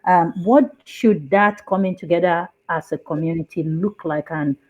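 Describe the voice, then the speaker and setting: A woman's voice: fairly low pitch, moderate pace, darkish tone, dull audio. A woman hosting an online talk show, chatty and enthusiastic with her guests. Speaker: host.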